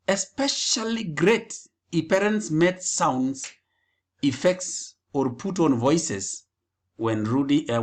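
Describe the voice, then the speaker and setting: A man presents scripted educational material at a slow, deliberate pace. His voice sits a little high for a man and rises and falls widely from phrase to phrase.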